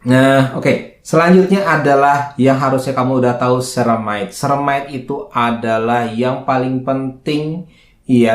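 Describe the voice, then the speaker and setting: A man's voice: medium pitch, a little mellow. Man explaining, in an echoey room. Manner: chatty, asks himself questions then answers them. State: slightly hoarse. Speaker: skincare educator and video host. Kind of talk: informal explainer to camera. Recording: roomy, echoing indoor sound.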